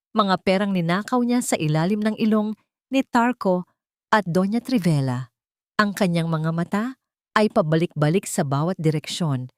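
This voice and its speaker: A Filipino woman narrates a scripted melodrama. Her voice sits on the low side, with a solemn, measured storytelling delivery. The sound is dry, with very little room. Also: a little mellow, no echo. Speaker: audio drama narrator.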